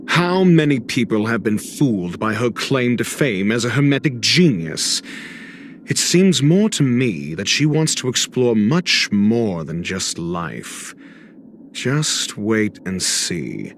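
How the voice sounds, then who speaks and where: A man voicing a haughty, condescending intellectual scholar in a video game, speaking in measured, theatrically articulate lines. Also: fairly low pitch, very animated, slight background noise, heavily acted. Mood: reflective, assured. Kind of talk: scripted video game character monologue. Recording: voice over background music.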